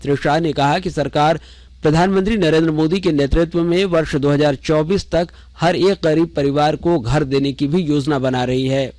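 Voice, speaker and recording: A man reading a scripted radio news bulletin in a measured, formal way. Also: fairly high pitch, steady tone, darkish tone, no echo. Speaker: news reader. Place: radio studio.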